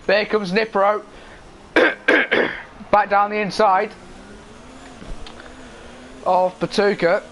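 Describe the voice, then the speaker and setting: A young man commentating an esports race in a casual style, hoarse and losing his voice, clearing his throat often. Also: high voice, flat delivery, drawn-out words, pauses often, a bit bright, slight background noise. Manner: casual, frequent throat clearing and filler ums.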